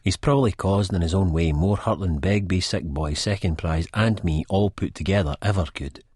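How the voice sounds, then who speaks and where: A man's voice: low-pitched, normal speed, a little mellow, husky, dry recording, clean studio sound. A man with a Scottish accent playing a wry, reflective, disillusioned first-person narrator in an audiobook. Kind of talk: first-person literary narration, character acting.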